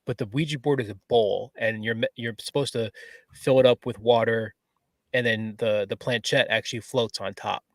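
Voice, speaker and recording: A man's voice: mid-range voice, fairly even intonation, moderate pace, no echo, clean studio sound. A man who investigates the paranormal, chatting casually and explaining things in stop-start phrases. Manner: explains in stop-start bits, repeats words. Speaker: paranormal investigator, podcast regular. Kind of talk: casual chat.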